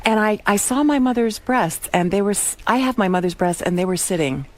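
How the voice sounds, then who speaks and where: A woman guest on a radio show who answers teasing questions playfully, laughing often. Her pitch moves a lot, and her voice sits in a middle register. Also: lively intonation, a little fast. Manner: playful, laughing, repeats words. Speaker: guest actress. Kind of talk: casual studio banter.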